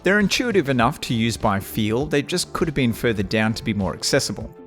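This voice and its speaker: A man with a nasal voice, giving a measured, wry scripted review of audio gear. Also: mid-range voice, sing-song, faint music behind. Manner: measured, wry and matter-of-fact. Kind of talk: scripted product review narration.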